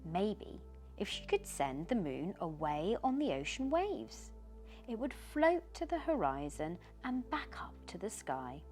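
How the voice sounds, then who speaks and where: Animated British woman reading a bedtime story. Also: medium pitch, measured pace. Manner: dramatic, often dropping into hushed whispers, with asides to the listener. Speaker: storyteller reading a children's picture book aloud. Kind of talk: expressive bedtime story narration for children. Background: background music.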